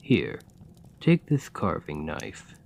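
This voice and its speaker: A man playing a kind, patient gravedigger in a soft, soothing, encouraging ASMR roleplay. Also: extremely low pitch, wildly swooping pitch, slow pace, creaky, slight background noise, full character voice. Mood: gentle encouraging. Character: kind, gentle gravedigger, patient and playfully fond. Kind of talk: ASMR roleplay, scripted comforting monologue.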